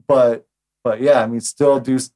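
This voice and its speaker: A man's voice: mid-range voice, fairly even intonation, moderate pace, dark tone. A man hosting a casual interview, speaking hesitantly with many fillers and repeated words. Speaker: host.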